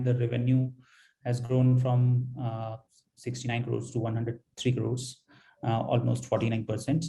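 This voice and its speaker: A man with an Indian accent presenting financial results as a company executive on an earnings call, halting and hesitant, with frequent pauses and repeated words. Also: mid-range voice, warm tone, low-quality recording.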